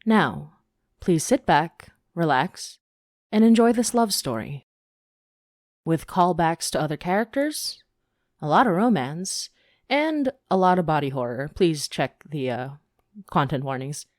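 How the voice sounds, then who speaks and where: A woman's voice: deep voice, expressive, measured pace, darkish tone, dry recording, clean studio sound. A woman hosting a fiction podcast, reading announcements and scripted story narration.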